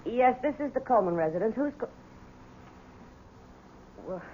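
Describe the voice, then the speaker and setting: An actress playing a gentle, loyal, anxious wife and mother in a radio drama. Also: fairly high pitch, expressive, moderate pace, lightly acted. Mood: worried, soothing. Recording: tinny old radio broadcast, hiss.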